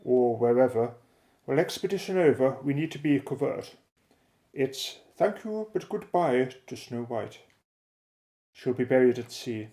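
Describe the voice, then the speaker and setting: A man's voice: mid-range voice, expressive, measured pace, warm tone. An actor playing a bold, decisive male explorer in a radio drama, giving clipped, matter-of-fact orders. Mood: brisk resolve.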